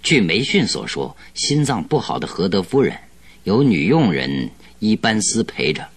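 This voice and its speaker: An actor playing a shrewd, secretive male detective in a radio drama, with a husky, unhurried, coaxing delivery. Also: lowish voice, very animated, exaggerated voice. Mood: calm, scheming. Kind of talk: Mandarin radio drama acting.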